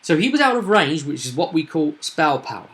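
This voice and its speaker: Bright, higher-voiced man recapping a tabletop game. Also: big pitch swings, fairly quick. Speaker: game master.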